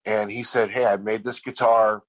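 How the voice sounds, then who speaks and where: Brief: man, lowish voice going hoarse, dry close sound, rambling band-story guest. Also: little pitch movement, normal speed, no room sound, poor audio. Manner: rambling storyteller with many restarts. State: losing his voice. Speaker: podcast guest, band frontman. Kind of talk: casual interview chat.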